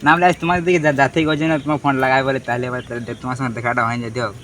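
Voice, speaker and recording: Fast-talking man with a bright voice. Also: medium pitch, a little hiss or hum. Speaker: prank-call vlogger, host. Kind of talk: casual chat to viewers and on a phone prank.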